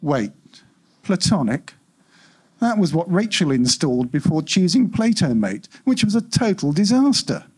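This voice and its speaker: Man voicing an exasperated, husky home-automation AI. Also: fairly high pitch, very animated, unhurried, darkish tone, full character voice. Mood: irritated. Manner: indignant, emphatic complaining. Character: exasperated, self-important home-automation AI, indignant and fretful. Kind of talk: live short-story reading.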